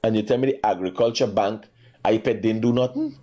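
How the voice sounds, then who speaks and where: Man in his forties, Guyanese accent, low voice, call-in host, pushes back hard on callers. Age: 40s. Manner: slow and reflective alone, loud and rapid when arguing. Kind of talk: call-in talk show.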